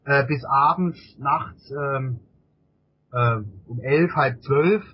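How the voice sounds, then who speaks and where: A middle-aged man with a husky voice answers casually as an interview guest, often repeating words while he searches for phrasing. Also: mid-range voice, slow. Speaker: interview guest, amateur endurance cyclist. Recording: dull, lo-fi interview audio.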